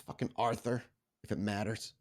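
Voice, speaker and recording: Casting: man as cornered anarchist vampire rebel, lowish voice, swearing and snarling defiance, for a role-playing game. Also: level tone, normal speed, lightly acted. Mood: defiant. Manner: profane, snarling street-tough talk. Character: defiant, foul-mouthed young-blooded male anarchist vampire captive.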